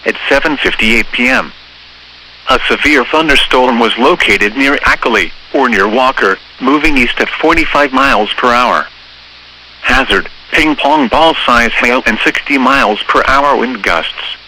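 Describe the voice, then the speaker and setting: A synthetic male voice reading scripted emergency weather bulletins in a formal, measured, impersonal way over weather radio. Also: medium pitch, pushed and bright. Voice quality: synthetic text-to-speech voice. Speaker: automated weather radio announcer. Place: automated broadcast received over radio. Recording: noisy weather radio broadcast.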